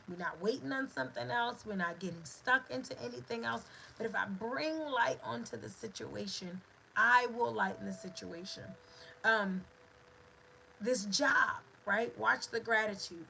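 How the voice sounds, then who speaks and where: A woman's voice: fairly high pitch, creaky, pushed and bright, slight background noise, rough recording. A woman hosting a motivational talk on gratitude, speaking in an emphatic, repetitive, preacher-like cadence. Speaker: host.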